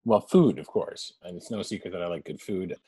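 A man's voice: low voice, brisk, dark tone, husky, no room sound. A man in his forties, a guest telling family stories in a chatty, laughing way. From born in Canada, raised abroad. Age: 40s. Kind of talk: casual storytelling interview.

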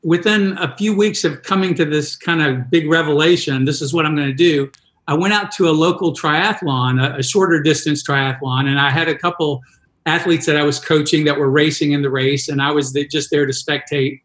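A man's voice: on the high side, brisk, fairly bright tone, reverberant. A man in his sixties, a triathlon coach, telling a self-deprecating story with many restarts in a podcast interview. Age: 60s.

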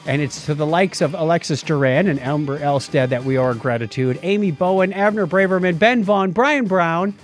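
A man's voice: a little high, a little fast, few pauses, faint music behind. A man co-hosting a podcast in a playful, informal way, moving between casual chat and read-out items. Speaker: podcast co-host.

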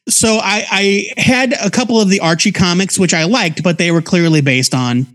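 An American man in his forties giving casual, conversational commentary as a podcast co-host. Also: a little high. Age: 40s. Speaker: podcast co-host reviewing comics.

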